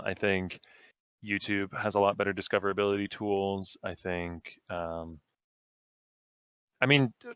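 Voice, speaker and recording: Low-voiced young man, relaxed and rambling. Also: smooth, no room sound, studio quality. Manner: relaxed, rambling, full of fillers. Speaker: guest streamer. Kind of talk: casual podcast conversation.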